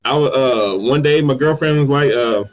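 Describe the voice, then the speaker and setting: A man's voice: mid-range voice, moderate pace, lo-fi sound. A man from North Carolina, a guest in a casual interview, who often stammers and repeats his words. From North Carolina, USA. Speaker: guest, toy reviewer.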